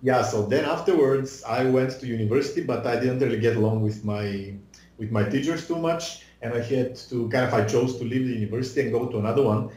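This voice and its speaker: A man's voice: medium pitch, fairly quick, darkish tone. Bulgarian man on a roomy video call. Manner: hesitant, many fillers and restarts. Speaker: guest, visual effects artist. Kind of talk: casual interview over video call. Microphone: video call webcam mic.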